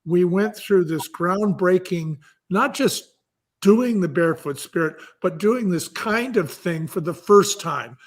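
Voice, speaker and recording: A man's voice: sits high, expressive, measured pace. A man talking conversationally as an interview guest, explaining things emphatically like a storyteller and repeating key phrases. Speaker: audiobook producer and guest.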